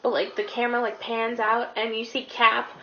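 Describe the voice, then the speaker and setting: High-voiced young woman, slightly dull noisy audio. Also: little pitch movement, normal speed. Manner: informal, peppered with "like", some swearing. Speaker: guest co-reviewer. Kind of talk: casual chat, recapping a movie. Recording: dull lo-fi audio with faint noise.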